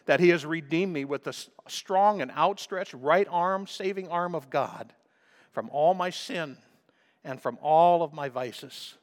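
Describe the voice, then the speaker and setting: A man preaching a sermon with emphatic delivery, often clearing his throat, his voice a little crackly. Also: fairly high pitch, a little slow. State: slightly crackly voice. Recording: long reverb of a large hall.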